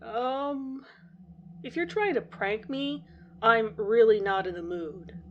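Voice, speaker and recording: A woman's voice: a little high, slow, lo-fi sound, slight background noise. A woman voicing a confused, slightly annoyed young boyfriend who speaks hesitantly. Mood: puzzled.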